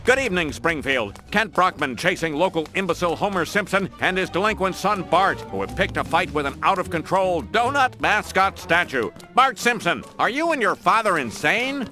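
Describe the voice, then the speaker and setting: A pompous cartoon TV newsman. His delivery is formal and self-important, pitched fairly high, with music behind it. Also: animated, normal speed, hardly any pauses. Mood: indignant, urgent. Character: pompous male television news anchor.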